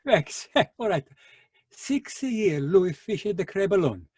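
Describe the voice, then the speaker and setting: A bitter, hot-tempered Italian fisherman in a radio play. His pitch swings widely through theatrical broken English with an Italian accent. The recording is dry and close. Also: lively intonation, slow, a little mellow, slightly rough, no echo, heavily acted. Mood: sarcastic, disgusted. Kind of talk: old-time radio drama acting.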